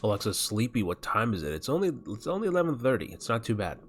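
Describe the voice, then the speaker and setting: A man's voice: on the low side, big pitch swings, fast talker, a little hiss or hum. A man streaming a game, chatting casually and joking as he reads game text aloud. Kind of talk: casual gameplay chat. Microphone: desk mic.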